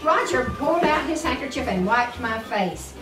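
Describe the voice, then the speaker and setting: A woman's voice: high-pitched, expressive, normal speed. An older woman reads a storybook aloud to a small child, expressively and with little explanatory asides. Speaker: grandmother reading to a child. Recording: roomy, distant mic, music behind. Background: music.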